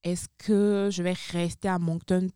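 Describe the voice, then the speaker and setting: A woman's voice: fairly low pitch, level tone, a little slow, dark tone, clear, resonant tone, dry recording, studio quality. A young woman from Benin, a guest on a radio interview, talking in a chatty way with frequent hesitations and self-corrections. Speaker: guest, university international student services supervisor.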